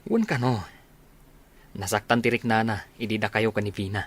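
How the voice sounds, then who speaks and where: A man's voice: fairly low pitch, steady tone, moderate pace, a bit bright. A man acting a rueful, teasing young bachelor in an Ilocano comedy radio drama, casual and joking with his buddy.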